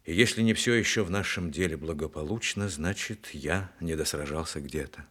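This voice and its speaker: Very deep-voiced, solemn old veteran. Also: male voice, a bit bright, husky, heavily acted. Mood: solemn, earnest. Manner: solemn, measured, earnest declamation. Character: dying old war veteran, principled, proud Communist officer. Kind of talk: Soviet radio drama acting.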